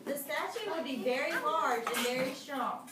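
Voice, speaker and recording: A woman's voice: high voice, normal speed. A woman teacher reading aloud to children in a lesson, breaking off to explain and ask questions. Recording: very echoey noisy room, far from mic.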